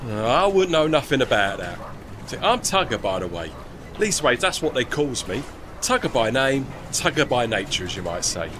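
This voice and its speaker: A man's voice: mid-range voice, sing-song, normal speed, noisy background, lightly acted. An actor playing a chatty, deferential working-class tugman with a London Cockney accent and a rambling, folksy manner in a period audio drama. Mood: cheerful, deferential.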